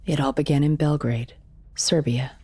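A woman playing a cold, vengeful mercenary in an audio spy thriller, menacing, deliberate and sardonic. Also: deep voice, fairly even intonation, noisy background, natural voice. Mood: cold, bitter. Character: cold, vengeful female mercenary holding people at gunpoint.

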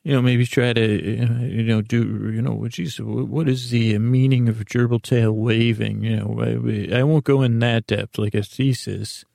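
Creaky-voiced man rambling whimsically. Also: mid-range voice, fairly even intonation, warm tone, no echo, clean studio sound. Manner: meandering, halting, repeats and trails off into tangents; silly, whimsical. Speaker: podcast host. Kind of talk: rambling comedic monologue.

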